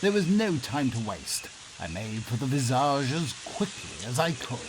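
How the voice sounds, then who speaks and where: An actor playing a polite, excitable Victorian nobleman in a comedy radio play, with a theatrical, pompous and well-mannered delivery. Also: mid-range voice, moderate pace, lightly acted. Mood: anxious, dramatic. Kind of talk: melodramatic first-person radio theatre narration and acting. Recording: noisy ambience behind the voice.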